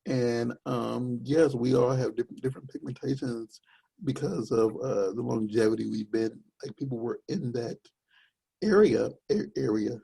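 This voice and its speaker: Gravelly, husky young man rambling. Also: measured pace, warm tone, poor audio. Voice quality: deep voice. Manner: rambling, searching for words with many fillers. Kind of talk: casual chat.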